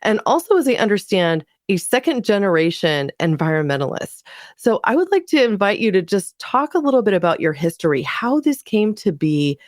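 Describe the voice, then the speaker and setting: A woman's voice: mid-range voice, big pitch swings, fairly quick, dry recording, clean studio sound. A woman hosting a podcast, inviting and emphatic as she introduces her guest. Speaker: podcast host.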